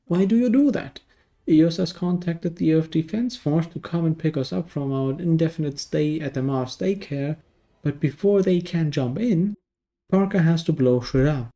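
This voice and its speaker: A man's voice: a little high, normal speed, warm tone. A man narrating a scripted video game review in a dry, sardonic manner.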